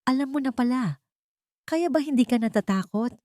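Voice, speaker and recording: A woman's voice: high voice, animated, moderate pace, darkish tone, no room sound, clean studio sound, pitched above the performer's own voice. A Filipino woman voicing a cold, threatening, murderous husband in an audiobook drama. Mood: taunting. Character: cold, menacing villainous husband plotting murder.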